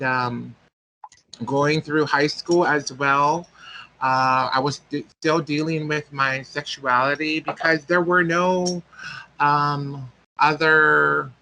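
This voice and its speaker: A man's voice: a little high, sharp tone, low-quality recording. A man, telling a personal story as a guest speaker and often searching for words. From American Samoa.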